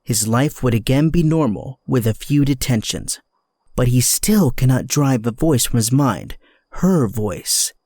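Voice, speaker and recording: A man's voice: mid-range voice, lively intonation, unhurried, a little mellow, no echo. Dry-sounding male drama narrator.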